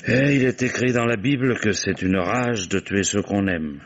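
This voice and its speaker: An actor playing a weary, shrewd and commanding police commissioner in a vintage French radio mystery, deliberate and musing. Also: male voice, fairly low pitch, lightly acted. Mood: reflective, explaining. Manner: deliberate questioning with musing interjections. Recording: dull vintage radio drama recording.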